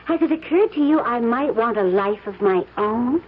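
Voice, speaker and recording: An actress playing a wry, fed-up mistress in 1970s radio drama style, pointed and sardonic. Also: female voice, fairly high pitch, lively intonation, normal speed, husky. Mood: sarcastic, wounded. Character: wry, fed-up mistress demanding all or nothing. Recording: tinny narrow-band old radio.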